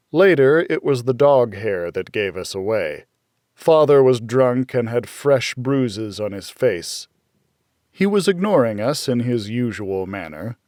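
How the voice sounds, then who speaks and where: Slow, deliberate male audiobook narrator. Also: medium pitch, darkish tone, no room sound, studio quality. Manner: measured, deliberate, dramatic storytelling. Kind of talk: scripted first-person fiction narration.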